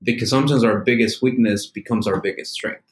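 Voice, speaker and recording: A man's voice: on the low side, brisk. A man with a Mexican accent in casual conversation, speaking frankly and plainly about self-acceptance. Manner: frank, casual, swears, playful laughs. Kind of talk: casual reflective chat. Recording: echoey room.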